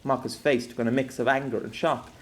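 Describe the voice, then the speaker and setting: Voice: medium pitch, fairly even intonation, fast. An author narrating their own novella as an audiobook, telling the story expressively.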